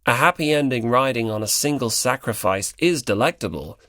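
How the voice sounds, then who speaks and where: A performer voicing a teasing, theatrical, slyly contrary teenage boy in an audiobook, with a mocking, drawn-out delivery. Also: medium pitch, expressive, a bit bright, no room sound, clean studio sound, lightly acted. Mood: dismissive. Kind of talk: dramatic audiobook character dialogue.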